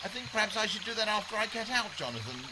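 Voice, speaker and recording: A man's voice: high voice, very animated, quick pace, crowd noise. A man playing a meek, very polite field assistant, hesitant and understated in a radio comedy drama. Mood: uncomfortable, restrained.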